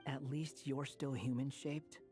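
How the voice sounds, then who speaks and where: A man playing a pensive, practical young companion in a video game, with a wry, deliberate delivery. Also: medium pitch, steady tone, dark tone, faint music behind, close to an everyday voice. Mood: wry, resigned.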